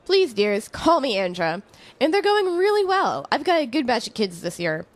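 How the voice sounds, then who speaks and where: A woman playing a friendly, reflective middle-aged chemistry professor in an audio drama, speaking in a measured, explanatory way. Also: on the high side, sing-song. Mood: friendly, concerned. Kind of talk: conversational audio drama acting.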